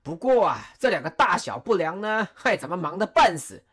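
A woman's voice: on the low side, very animated, moderate pace, husky. A woman playing a jovial, teasing male warlord chancellor in an audio drama, chuckling and mock-stern.

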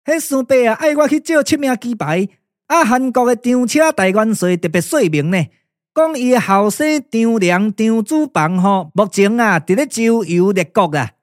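A man's voice: very high voice, lively intonation, normal speed, dry recording, clean studio sound. A man playing a chatty, deferential underling with a Taiwanese accent, hesitant and wheedling, in a glove-puppet radio drama. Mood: deferential, explaining. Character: deferential, chatty male underling reporting to his master.

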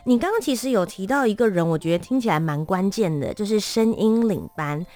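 A woman's voice: mid-range voice, animated, a little fast, darkish tone, soft background music. A woman with a Taiwanese Mandarin accent hosting a conversational interview, attentively summarizing and following up. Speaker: interview host.

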